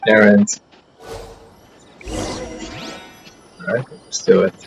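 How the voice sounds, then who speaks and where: A man's voice: lowish voice, fairly even intonation, drawn-out words, gravelly. A man giving offhand live commentary as he streams a game, heard through a distant-sounding microphone. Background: faint game music.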